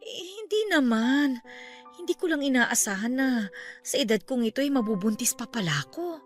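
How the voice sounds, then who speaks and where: A woman's voice: sits high, expressive, normal speed, soft background music. A woman playing an anxious, hesitant middle-aged aunt in an emotive radio drama. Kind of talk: Tagalog radio drama acting.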